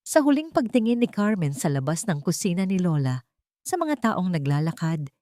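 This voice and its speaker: A woman narrating a scripted, sentimental romance story in an earnest, measured storytelling manner. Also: fairly low pitch, darkish tone, no echo, studio quality.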